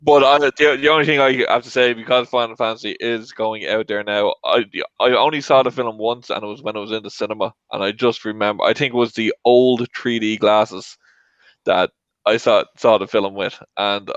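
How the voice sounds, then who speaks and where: A man's voice: lowish voice, fairly even intonation, normal speed, fairly bright tone, clean tone, no echo. A man hosting a casual panel debate about films, recorded on a lo-fi online call. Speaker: show host.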